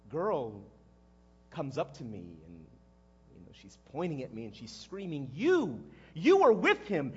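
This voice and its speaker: An actor playing a guilt-ridden, impulsive fisherman disciple in a halting, conversational dramatic monologue, with a husky male voice. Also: mid-range voice, highs cut off. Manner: halting, conversational, erupting into shouts. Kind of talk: dramatic first-person monologue.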